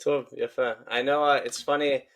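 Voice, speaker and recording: A young adult man hosting a podcast, asking relaxed questions with many fillers. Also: mid-range voice, brisk. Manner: friendly, filler-heavy questions. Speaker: podcast host. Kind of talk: casual interview hosting.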